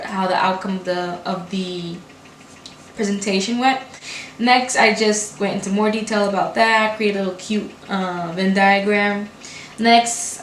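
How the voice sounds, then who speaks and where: Fast-talking young woman in an echoey room. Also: medium pitch. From Brooklyn, New York. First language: not English. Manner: fast, run-on talk with restarts. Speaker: graduate student vlogger. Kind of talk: casual talk to camera. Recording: echoey room with faint hiss.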